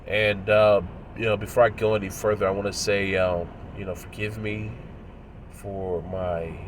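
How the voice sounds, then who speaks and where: A man's voice: fairly low pitch, level tone, a little hiss or hum. Nasal, congested man preaching a lesson. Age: 30s. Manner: measured, moralizing, pausing often. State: congested and nasal from a cold, coughing. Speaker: religious commentator. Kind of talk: preachy commentary video.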